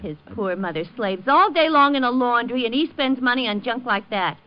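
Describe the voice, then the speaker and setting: A woman playing a sharp-tongued, complaining New shopkeeper's wife in a 1950s radio drama, sardonic and full of rhetorical questions. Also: on the high side, expressive, moderate pace, close to an everyday voice. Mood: sardonic griping. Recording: dull old 1950 radio recording.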